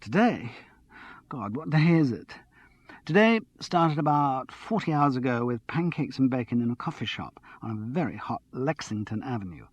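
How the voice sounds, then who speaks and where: A man reading an audiobook, voicing an excitable, slightly tipsy young woman who chatters on without pause. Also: mid-range voice, breathy edge, no echo, dull audio. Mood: giddy. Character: giddy, tipsy young woman studio manager.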